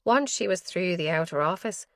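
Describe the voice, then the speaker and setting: A woman narrating a mystery thriller audiobook in an even, measured way. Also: lowish voice, no room sound. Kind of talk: scripted narration.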